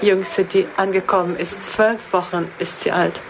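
A woman working as a live interpreter, halting and phrasing piece by piece. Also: on the low side, steady tone, low-quality recording. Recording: loud crowd and speaker behind.

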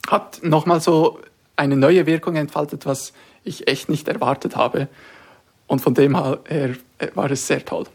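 A young man from Switzerland joins a radio interview as a discipleship school student. His voice sits a bit higher than most men's. He answers thoughtfully, with occasional fillers. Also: fairly even intonation, measured pace, gravelly, husky.

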